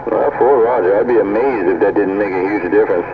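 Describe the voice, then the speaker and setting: A man's voice: lowish voice, quick pace. An American man chatting casually as a CB radio operator. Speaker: CB radio operator hosting a radio night stream. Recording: tinny band-limited CB radio, clear static.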